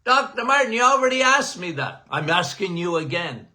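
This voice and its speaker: An older man, a doctor hosting a podcast, giving a casual and emphatic health talk, punctuated by "okay?" and self-described as loud-voiced. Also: sits high, normal speed. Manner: animated, emphatic, repeats "okay?"; says he has a loud voice.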